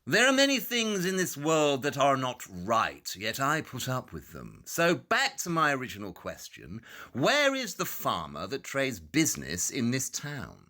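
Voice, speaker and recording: An actor playing a brilliant, impatient male detective with a British accent, speaking in a brisk, condescending way in an audio drama. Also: mid-range voice, wildly swooping pitch, pushed and bright, full character voice. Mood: cool.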